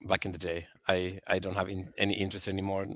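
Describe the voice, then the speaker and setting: This is a man with a lowish but bright, forward voice, talking casually as a podcast guest. He is self-deprecating and laughs easily. The recording is dry and close. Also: monotone, fast talker, no room sound.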